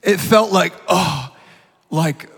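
A man's voice: sits high, slow pace, gravelly, fairly bright tone, husky. A man in his thirties speaking earnestly from the stage, often repeating words as he builds his point. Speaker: guest speaker. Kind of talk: heartfelt sermon. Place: large church hall. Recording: reverberant hall.

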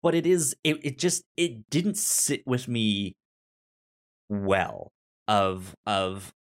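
A man discussing a film casually as a co-host, halting and repeating words as he thinks aloud. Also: lowish voice. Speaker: movie podcast co-host.

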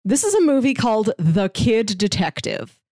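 A woman's voice: a little high, sing-song, moderate pace, a little mellow, no room sound. Chatty woman co-hosting a podcast, close dry sound. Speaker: podcast co-host. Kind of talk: casual podcast chat, pitching films and reading synopses.

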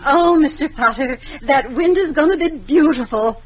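A woman's voice: high-pitched, moderate pace, music in the background, close to an everyday voice. An American actress playing a humble, kindly mother and store cleaner in an old-time radio drama, deferential and a little hesitant. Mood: warm, admiring. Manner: deferential, gentle, slightly hesitant. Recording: muffled, noisy old radio recording.

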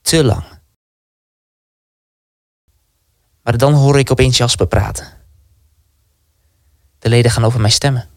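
A man's voice: medium pitch, normal speed, creaky, no room sound, studio quality, lightly acted. A man acting an anxious, defiant young resistance recruit in a dramatic first-person radio play. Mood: earnest, defiant.

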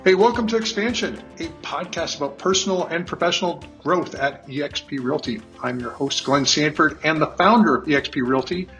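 A man's voice: fairly high pitch, a little fast, few pauses, fairly bright tone, faint music behind, low-quality recording. A man hosting a podcast, interviewing a guest in a hesitant way, with many fillers and restarts. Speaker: podcast host.

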